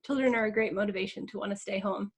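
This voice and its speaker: A woman's voice: mid-range voice, quick pace, slightly rough. A woman hosting a conversational interview, polite and affirming as she asks questions. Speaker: interview host.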